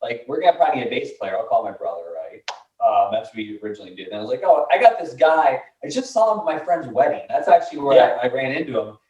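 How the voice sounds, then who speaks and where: A man's voice: medium pitch, lively intonation, fast talker, a little mellow. A man in his late thirties telling stories in a laid-back, rambling way with lots of fillers, recorded off-mic in a room. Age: 30s. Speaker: band member. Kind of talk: casual chat. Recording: roomy, distant, dull mic.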